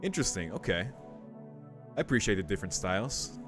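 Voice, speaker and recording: A man's voice: low-pitched, sing-song, brisk, music in the background. A man giving casual, laid-back commentary as he plays through a game, murmuring short reactions. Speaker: video game streamer.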